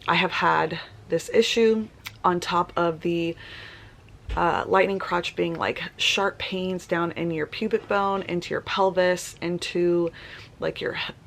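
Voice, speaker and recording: A woman's voice: on the low side, fairly even intonation, slow pace, vocal fry, a bit bright. A woman, chatting casually in a vlog and sounding congested and nasal, laughing often. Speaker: vlogger. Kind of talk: casual pregnancy vlog chat.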